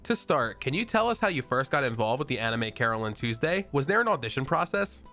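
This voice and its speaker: A man hosting an interview, reading scripted background facts briskly and asking questions. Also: mid-range voice, big pitch swings, pushed and bright, faint music behind. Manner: brisk, reads facts and lists of titles. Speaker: interview host.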